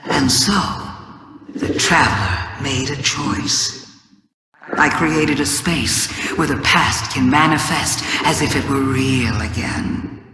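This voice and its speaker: An actress playing a cunning ancient alien witch queen in a video game, speaking in theatrical, deliberate, cryptic monologue. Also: extremely low pitch. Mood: grand, cryptic. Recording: cavernous hall reverb, crowd-like murmur behind.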